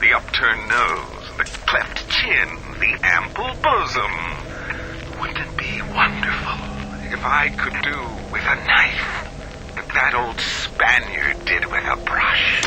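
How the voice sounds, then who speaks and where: Creepy obsessed artist surgeon, theatrical n drawn out, swoopy, super noisy old recording. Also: male voice, mid-range voice, wildly swooping pitch, slow pace, pauses often, heavily acted. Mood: yearning, disdainful. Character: vain, obsessive male surgeon with artistic delusions. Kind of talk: audio diary monologue.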